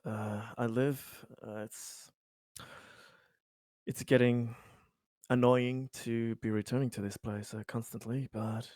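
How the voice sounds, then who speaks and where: Man playing a slow, halting, weary hospital patient. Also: mid-range voice, level tone, darkish tone, no room sound, clean studio sound, lightly acted. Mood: weary, troubled. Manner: halting, sighing, hesitant. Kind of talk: in-character tabletop roleplay.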